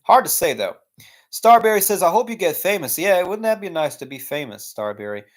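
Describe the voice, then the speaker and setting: A man's voice: sits high, very animated, brisk. A young American man chatting casually on a live stream, answering viewer questions in a rambling, laid-back way full of fillers. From United States. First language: English.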